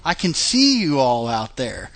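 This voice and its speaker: A man's voice: medium pitch, wildly swooping pitch, unhurried, creaky, a bit bright. A man hosting a podcast in casual chat, chatty with many fillers and restarts, laughing often. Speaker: podcast host.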